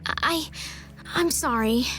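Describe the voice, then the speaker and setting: An actress playing a polite, gentle, somewhat anxious young noblewoman in a video game. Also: sits high, slow pace, soft background music. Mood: nervous.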